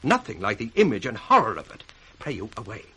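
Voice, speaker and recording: An actor with a British accent playing a sly, ambitious bastard son in a theatrical, sardonic 1960s radio Shakespeare style. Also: male voice, fairly low pitch, huge pitch swings, fast, sharp tone, full character voice, low-quality recording. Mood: feigned concern. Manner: theatrical, sardonic, crisply declaimed. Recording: faintly hissy 1960s radio recording.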